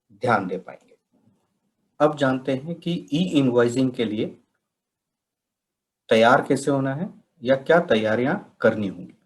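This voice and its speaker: A man narrates an instructional slide presentation. He speaks at a slow, measured pace with a mid-range pitch, taking the listener through each point methodically, as a software trainer would. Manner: methodical, step-by-step explaining.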